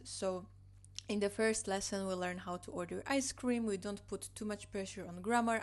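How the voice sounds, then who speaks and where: A young woman speaks English. She is a teacher showing her online course on screen and explains each part patiently, repeating herself and filling gaps with "you know." She is recorded indoors at a desk on a computer microphone. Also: medium pitch. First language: Polish. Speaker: Polish language teacher. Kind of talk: screen-share course walkthrough.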